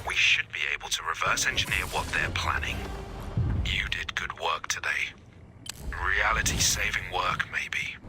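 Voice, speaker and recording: An actor voicing a grave, urgent military resistance commander in a video game, clipped and commanding. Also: male voice, low voice, a little slow, over music.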